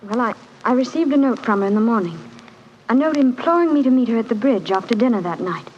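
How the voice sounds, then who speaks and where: A woman's voice: on the high side, animated, fast, a little mellow, lightly acted. An actress playing an earnest young woman wrongly accused, speaking dramatically in 1940s radio style. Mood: earnest, bitter. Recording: dull, noisy 1940s radio recording.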